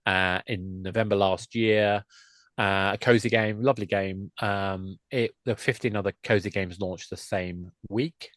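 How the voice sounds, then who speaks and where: Guy in his 50s, lowish voice, chatty rambling games guest, no room echo. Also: steady tone, unhurried, fairly bright tone. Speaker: guest, games publisher and game master. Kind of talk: casual panel chat.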